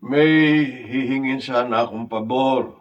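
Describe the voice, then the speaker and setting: A man's voice: mid-range voice, flat delivery, unhurried, highs cut off, lightly acted. A man playing a tender, sentimental middle-aged husband and father in an audio drama, speaking hesitantly and earnestly. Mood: hesitant, shy. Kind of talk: audio drama acting in Tagalog.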